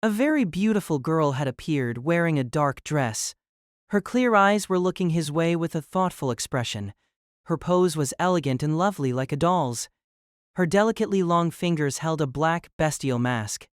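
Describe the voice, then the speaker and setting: A man's voice: on the high side, expressive, moderate pace, no room sound. Man narrating a story, very dry sound.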